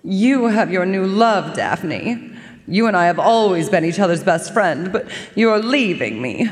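A melodramatic, jealous female cat acted by a woman, plaintive and full of self-pity. The voice is low for a woman and theatrical, on a radio drama stage in a reverberant hall. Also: expressive, a little slow, natural voice. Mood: bitter, self-pitying. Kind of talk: live radio drama acting.

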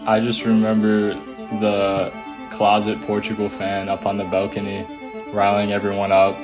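A man's voice: fairly low pitch, monotone, moderate pace, over music. A young American man casually recalling events in loose, hesitant phrases. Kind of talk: casual recollection.

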